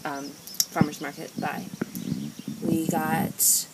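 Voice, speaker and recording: A woman with an American accent and a fairly low voice talks casually, like a home vlogger showing things to the camera. Her delivery is informal and chatty. The handheld recording has clear background noise. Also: little pitch movement, slow pace. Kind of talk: casual haul voice-over while filming. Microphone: handheld camera or phone, filming items. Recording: noisy handheld amateur recording, clicks.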